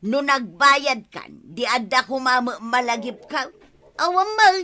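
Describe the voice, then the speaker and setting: A woman's voice: high voice, lively intonation, measured pace, pushed and bright, exaggerated voice. A woman with an Ilocano accent playing a flustered village fee collector who keeps protesting, in an Ilocano radio comedy drama.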